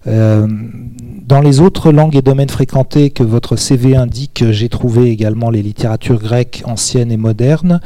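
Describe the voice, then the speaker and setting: A man moderating an onstage interview at a translation conference, halting and hesitant, with many pauses and restarts. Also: mid-range voice, mellow, no echo.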